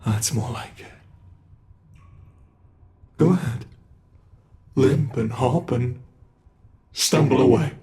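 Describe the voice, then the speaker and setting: A British man playing a sadistic predatory monster in a dark horror ASMR roleplay, taunting and mocking his prey with drawn-out menace. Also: mid-range voice, natural voice. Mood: impatient taunting.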